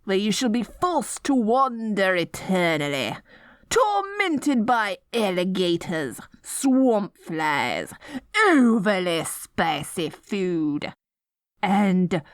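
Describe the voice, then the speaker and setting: A woman's voice: mid-range voice, no echo, studio quality. A woman playing a haughty, dorky evil queen in a scripted ASMR roleplay, swinging between grandiose proclamations and flustered stammering. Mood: gloating, imperious. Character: dorky, theatrical evil queen, haughty yet secretly smitten.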